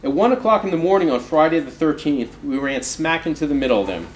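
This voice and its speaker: A man, an author, reads an oral history aloud in measured storytelling with emphatic phrasing. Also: on the high side, expressive. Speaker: author reading from their book. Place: live reading in a roomy indoor space. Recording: roomy, echoing live recording with noise.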